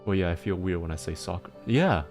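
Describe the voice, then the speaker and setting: A man livestreaming and chatting casually, explaining things in halting bursts with frequent restarts and laughter, speaking with a mixed accent. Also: low-pitched, animated, darkish tone, soft background music. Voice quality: self-described mixed accent. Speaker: livestreamer answering chat.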